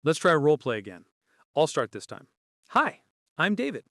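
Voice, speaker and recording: A man's voice: a little high, huge pitch swings, moderate pace, a bit bright, clean studio sound. Male co-host for an English lesson, explanatory and curious, varied melody, no room echo. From Canada. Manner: inquisitive, explanatory. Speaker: podcast co-host. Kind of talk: scripted conversational English lesson.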